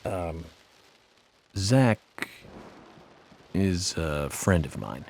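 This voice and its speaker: An American man playing an eccentric, introspective federal agent in a video game, with a measured, earnest, confiding manner. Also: sits low, animated, a little mellow, heavily acted. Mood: hesitant, confiding. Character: eccentric, introspective male FBI agent with an imaginary friend. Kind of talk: video game cutscene dialogue.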